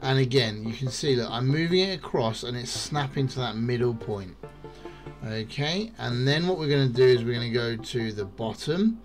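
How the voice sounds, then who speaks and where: A laid-back man narrating a game tutorial at an unhurried pace. He keeps it casual and excited, with plenty of "um"s and "uh"s, and his voice sits at an ordinary middle pitch. Soft music plays quietly behind him. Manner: relaxed, enthusiastic, many fillers. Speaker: gaming YouTuber. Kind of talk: game build tutorial voice-over.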